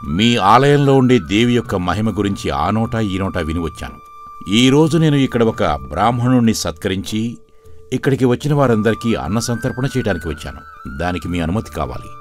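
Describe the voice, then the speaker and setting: A man plays a generous rich landlord's son in a storybook cartoon, polite and formal. His voice is on the low side, with music behind it. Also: expressive, brisk, close to an everyday voice. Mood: respectful. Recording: music under the voice.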